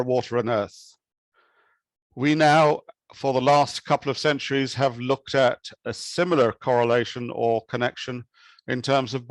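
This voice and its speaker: Measured Kenyan-born man giving an academic talk. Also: mid-range voice, no echo. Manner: measured, thoughtful, with occasional restarts. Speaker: conference speaker.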